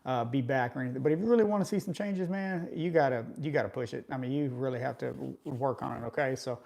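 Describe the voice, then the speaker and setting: A man's voice: mid-range voice, fast talker, creaky, darkish tone. A man in his forties chatting casually with live viewers about workouts, coaching in a conversational way and often tagging his points with "right?". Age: 40s. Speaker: fitness vlogger.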